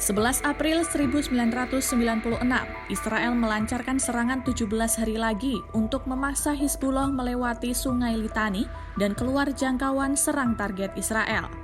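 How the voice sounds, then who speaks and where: A woman with an Indonesian accent reads a scripted news explainer in a formal, measured manner. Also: a little high. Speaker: news narrator. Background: background music.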